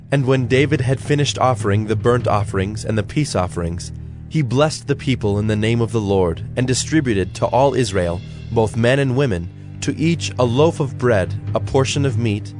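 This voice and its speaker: A man giving a formal, measured, solemn narration of scripture. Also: lowish voice. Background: music.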